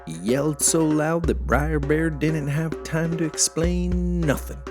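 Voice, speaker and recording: Guy narrating a folksy folktale, really lively pitch, music playing underneath. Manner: folksy, animated. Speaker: storyteller. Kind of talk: expressive scripted folktale narration.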